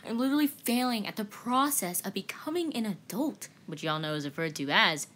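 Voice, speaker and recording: A woman's voice: medium pitch, expressive, a little fast, a bit bright. A woman voicing a naive, dramatic young man in a comedy sketch dub, with an exclamatory delivery. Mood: exasperated.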